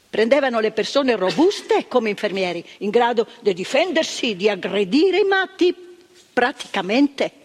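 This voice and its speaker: A woman's voice: a little high, breathy edge. An actress playing a former woman asylum nurse, telling her memories in a plainspoken stage monologue that repeats phrases for emphasis. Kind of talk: theatrical monologue of remembered testimony. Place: theatre stage. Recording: long stage reverb, radio broadcast.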